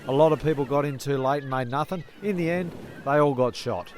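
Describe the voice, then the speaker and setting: A man's voice: medium pitch, a little fast, close to an everyday voice. A man playing a laid-back, literal-minded mate in an audio comedy, talking in a blokey, matter-of-fact way. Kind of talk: comedic audio drama dialogue.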